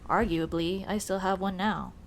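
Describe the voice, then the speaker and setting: A woman playing a young tomboy childhood friend in an ASMR roleplay, speaking in casual boyish slang with hesitant pauses. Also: on the low side, creaky, slight background noise. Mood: flustered, hesitant. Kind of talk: ASMR roleplay, intimate one-sided dialogue.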